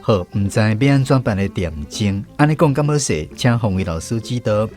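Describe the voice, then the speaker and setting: A man's voice: fairly low pitch, lively intonation, normal speed, a little mellow, soft background music. A man with a Taiwanese accent hosting a language lesson on the radio, guiding contributors through their turns.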